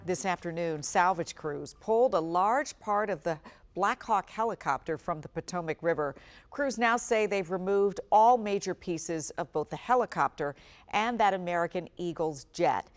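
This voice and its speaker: A woman's voice: lowish voice, expressive, normal speed. A woman anchoring a newscast in a broadcast news delivery.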